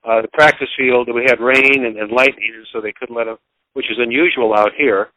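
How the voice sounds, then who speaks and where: A man giving casual sports commentary as an interviewed broadcaster, in a halting, rambling, folksy way. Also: mid-range voice, fairly even intonation, pushed and bright, dry recording, poor audio.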